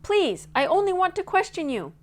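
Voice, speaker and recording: A woman's voice: very high-pitched, big pitch swings, normal speed, voice pushed up. A woman voicing a bold young female detective in a storybook, questioning people insistently. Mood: urgent shouting. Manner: urgent, probing, persistent questioning. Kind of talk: storybook read-aloud character voice.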